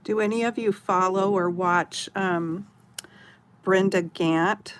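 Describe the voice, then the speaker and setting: A woman's voice: mid-range voice, steady tone, slow pace. A middle-aged woman from Oregon, chatting casually with live viewers as a craft channel host, rambling and giggling often. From Oregon, USA.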